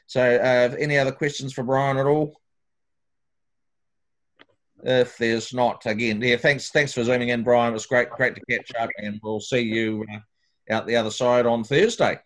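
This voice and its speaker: A man's voice: mid-range voice, steady tone, moderate pace, a bit bright. A man chairing a council meeting, polite and hesitant with many fillers.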